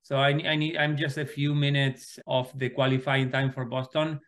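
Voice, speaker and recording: Argentine man, forties, mid-pitched voice, relaxed, unhurried, repeats words while thinking. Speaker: guest, sports club co-founder. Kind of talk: casual interview answers.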